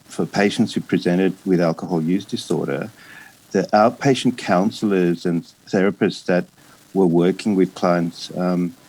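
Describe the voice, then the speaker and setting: A man in his fifties with an Australian accent gives an academic lecture in a hesitant, halting way, often pausing and breaking off words. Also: low-pitched, darkish tone, husky, rough recording. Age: 50s. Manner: hesitant, halting delivery with frequent pauses and broken-off words. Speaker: psychiatrist and addiction specialist giving a lecture.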